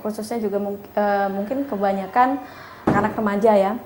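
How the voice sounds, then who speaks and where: A woman's voice: medium pitch, steady tone, normal speed. A woman giving hesitant answers in an interview. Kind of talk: interview talk. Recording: echoey room with faint noise.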